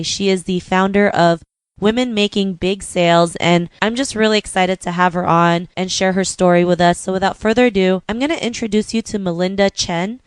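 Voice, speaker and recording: A woman's voice: medium pitch, smooth, dry recording. A woman hosting a podcast interview, chatting casually and often saying "you know" between thoughts. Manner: friendly, leans on "you know" fillers. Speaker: podcast host.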